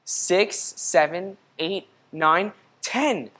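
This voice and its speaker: A man's voice: high-pitched, very slow, sharp tone, exaggerated voice. Young man voicing an earnest, fretful storybook donkey. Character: naive, anxious young male donkey in a storybook.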